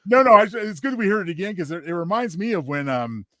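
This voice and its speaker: High-voiced, animated man joking as co-host. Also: quick pace, no echo, clean studio sound. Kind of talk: casual, joking commentary.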